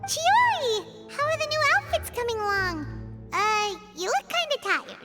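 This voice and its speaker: A tiny, excitable video game companion, squeaky and extremely high. The childlike voice bounces animatedly through its exclamations, with prominent music behind it. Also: female voice, moderate pace. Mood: curious, concerned. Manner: exclaiming, plain-spoken, refers to self by name. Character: small excitable childlike floating companion, talks in third person.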